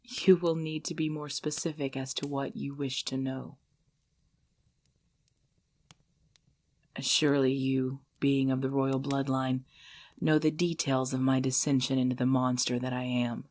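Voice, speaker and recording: A woman's voice: very deep voice, flat delivery. A woman voicing an ancient, possessive vampire lover in an intimate ASMR roleplay, speaking breathily and formally, often dropping into a whisper. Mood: grave, reminiscing. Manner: slow, formal, archaic phrasing, hushed.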